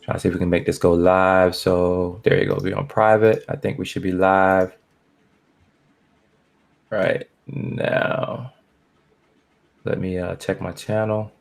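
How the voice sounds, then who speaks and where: A man's voice: low-pitched, flat delivery, unhurried, creaky, long pauses. A man chatting casually and slangily as a podcast host setting up a livestream. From born in Barbados, moved to the United States. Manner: slangy, informal.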